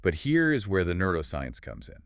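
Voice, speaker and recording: A man co-hosting a podcast, explaining ideas in a measured, scripted conversational way. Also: sits low, huge pitch swings, dry recording, clean studio sound. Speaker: podcast co-host.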